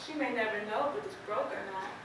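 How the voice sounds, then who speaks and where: A woman's voice: fairly high pitch, fast talker, lightly acted. A woman playing a regretful, protective, plainspoken farmer's wife in an amateur stage play, with an emphatic, emotional delivery. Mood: urgent, guilty. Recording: echoey stage, distant mic, faint noise.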